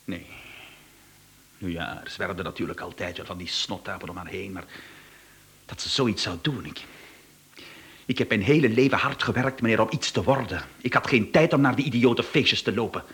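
A man's voice: fairly low pitch, normal speed, long pauses, a bit bright. An actor playing an overworked, agitated businessman and suspicious husband in a radio play. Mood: shocked, bitter.

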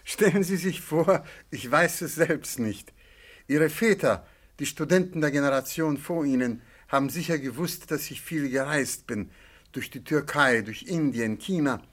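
An actor playing a worldly, courteous older antique dealer in a German radio play, telling things in a measured, genial way. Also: male voice, a little high. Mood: genial, musing.

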